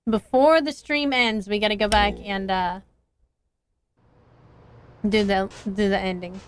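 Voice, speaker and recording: A woman's voice: medium pitch, steady tone, measured pace, slight background noise. A woman streaming a game live, reading visual novel lines aloud as she plays. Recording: game music behind the voice.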